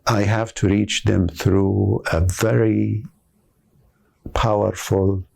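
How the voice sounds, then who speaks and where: An older man from Palestine whose first language is Arabic, speaking slowly and thoughtfully in an interview about his art, with pauses and fillers. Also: lowish voice, little pitch movement, creaky, a little mellow. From Gaza, Palestine. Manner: slow, measured, with pauses and fillers. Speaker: calligraphy artist. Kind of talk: documentary interview.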